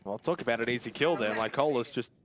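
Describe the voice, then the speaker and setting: A man's voice: mid-range voice, fast, a little hiss or hum. A man giving colour commentary on a live esports match in a conversational, reactive way.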